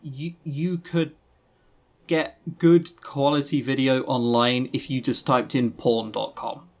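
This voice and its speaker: A man's voice: medium pitch, flat delivery, takes it slowly. A man co-hosting a podcast in casual review chat, with a blunt, dryly joking manner. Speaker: podcast co-host. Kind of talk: casual review chat about visual novels.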